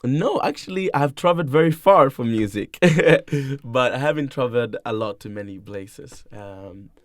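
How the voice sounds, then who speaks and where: A man's voice: medium pitch, animated, normal speed, no room sound, studio quality. A young man from Tanzania, a musician being interviewed, chatting casually and playfully, laughing often and rambling loosely. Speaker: musician guest.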